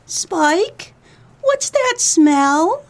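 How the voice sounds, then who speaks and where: A woman's voice: very high voice, big pitch swings, very slow, exaggerated voice. A woman playing a private eye's dreamy female sidekick in a comedic noir radio play.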